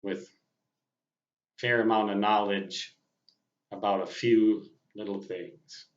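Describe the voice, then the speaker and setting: An older man speaks at an unhurried, slow pace in a fairly low voice. He addresses viewers off the cuff, with deliberate, wordy phrasing and a self-deprecating manner. The sound is roomy and echoing. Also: fairly even intonation, muffled recording. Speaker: amateur radio operator and vlogger. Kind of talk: unscripted personal monologue to viewers.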